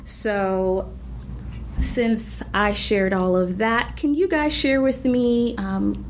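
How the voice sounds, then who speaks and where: A woman's voice: a little high, extremely slow, slight background noise. A woman whose first language is Haitian Creole, moderating a library staff panel and putting questions to the group. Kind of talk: panel discussion.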